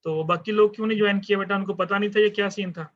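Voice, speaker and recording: A man runs an online class, taking the roll in a calm, fatherly manner. His voice sits a little high for a man. The audio is dull and lo-fi, like a video call. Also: racing, slight background noise. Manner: calm, fatherly, addresses students as beta. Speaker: university lecturer.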